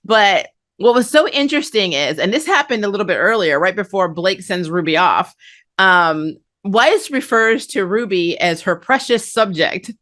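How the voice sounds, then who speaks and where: A woman's voice: mid-range voice, expressive, moderate pace, fairly bright tone. A woman hosting a review, recapping an episode in a chatty, conversational way with casual commentary. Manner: chatty, conversational, acts out characters' lines. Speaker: anime review channel host.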